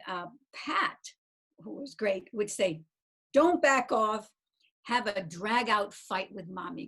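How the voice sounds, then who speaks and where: A woman's voice: mid-range voice, a bit bright, slightly rough. A woman author talking on a video call about her writing, hesitant, with many fillers and little laughs at herself. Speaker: panel guest author. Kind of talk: casual panel chat.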